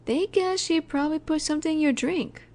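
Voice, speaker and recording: Soft, mellow, high-voiced female mafia boss acted by a woman. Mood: calm, warning. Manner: soft, unhurried, soothing yet in command. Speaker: audio roleplay voice actor. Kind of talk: intimate scripted audio roleplay, addressed to listener.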